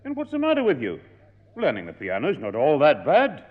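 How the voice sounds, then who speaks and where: An actor playing a fond old grandad, talking slowly and reminiscing. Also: male voice, on the high side, huge pitch swings. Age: older. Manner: slow and reminiscing. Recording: dull old radio recording.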